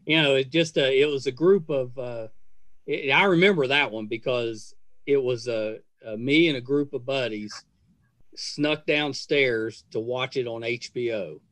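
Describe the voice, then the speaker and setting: American male podcast host, fifties, mid-pitched, chatty and hesitant, casual rambling interview style. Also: measured pace, fairly bright tone, no echo.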